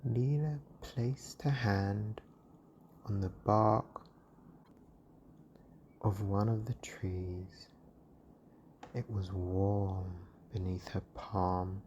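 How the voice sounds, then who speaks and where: A bedtime storyteller with a dark, mellow voice, reading extremely slowly. The delivery is hushed, breathy and gentle, in soothing scripted narration, with faint noise and soft ambience behind it. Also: deep voice, steady tone, highs cut off.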